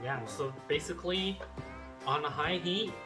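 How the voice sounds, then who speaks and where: A man's voice: a little high, unhurried. A Korean-born man whose first language is Korean, hosting a casual cooking tutorial in his kitchen and chatting through memories with lots of fillers. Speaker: home cook and video host. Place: home kitchen while cooking. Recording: roomy kitchen with loud background music.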